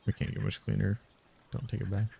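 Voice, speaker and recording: A man live streaming a text game, musing out loud in short casual phrases and sometimes reading the game text. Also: lowish voice, level tone, a little fast, gravelly, noisy background. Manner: musing, muttered short phrases, thinking aloud.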